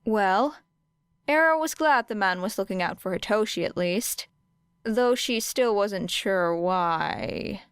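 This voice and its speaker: Female story narrator: very unhurried, crisp and resonant, deliberate phrasing, dry sound. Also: medium pitch, expressive, fairly bright tone, no room sound. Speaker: audiobook narrator. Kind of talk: scripted fiction narration.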